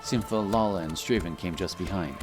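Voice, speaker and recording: Low-pitched male fantasy narrator. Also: a little fast, over music. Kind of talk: scripted dramatic fantasy narration.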